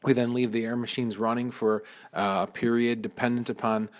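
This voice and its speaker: A man, a mold removal contractor walking through a job and explaining the work step by step, with frequent pauses and restarts. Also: medium pitch, flat delivery. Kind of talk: informal walkthrough narration.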